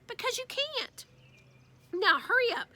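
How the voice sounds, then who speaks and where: A woman's voice: very high-pitched, expressive, a little slow, bright, forceful tone, pitched above the performer's own voice, full character voice. A woman voicing an exasperated sixth-grade boy, emphatic and protesting, in a storybook. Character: exasperated sixth-grade boy, big brother narrating his family.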